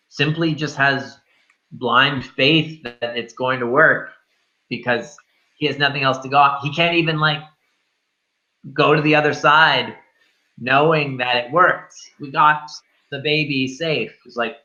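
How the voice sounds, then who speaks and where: A man's voice: mid-range voice, fairly even intonation, unhurried, sharp tone, dull audio. A man hosting a casual film discussion, feeling his way through ideas in a searching, repetitive, sweary manner. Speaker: film review show host.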